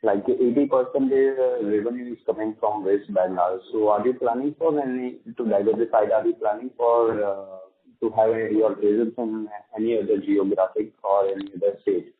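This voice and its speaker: Lowish Indian male caller, phone line. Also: fairly even intonation, moderate pace. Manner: hesitant, broken phrasing. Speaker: individual investor, caller. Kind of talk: asking questions on earnings call. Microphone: phone call. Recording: muffled narrow phone line.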